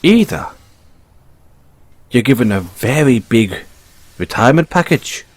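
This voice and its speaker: Man voicing a husky, sly Irish bunny mobster. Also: medium pitch, sing-song, dry recording. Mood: probing, menacing. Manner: teasing, unhurried, coaxing with tag questions. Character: sly young male bunny mechanic, secret mafia boss, playfully menacing. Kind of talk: intimate audio roleplay acting.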